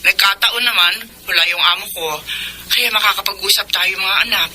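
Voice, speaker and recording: A woman's voice: medium pitch, fairly even intonation, fairly quick, sharp tone, a little hiss or hum. An actress playing a long-suffering middle-aged mother in a Filipino radio drama, emotive and exasperated. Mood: weary, concerned.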